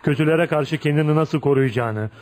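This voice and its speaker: A man's voice: on the high side, fairly quick, a little mellow, natural voice. An actor playing a feared, ugly but kind-hearted tough man, blunt and probing, in a Turkish radio play. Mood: earnest, friendly. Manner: blunt, probing, folksy, punctuated with "ha?". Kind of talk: Turkish radio theater acting.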